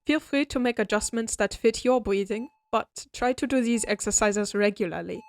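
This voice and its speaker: A woman's voice: fairly high pitch, darkish tone, lightly acted. Woman playing a refined, courteous vampire doctor. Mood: calm, soothing. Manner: formal, measured, courteous bedside explaining. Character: refined, oddly friendly vampire doctor, centuries-old aristocratic healer. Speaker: doctor character in a roleplay audio. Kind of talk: scripted comfort roleplay, spoken directly to listener.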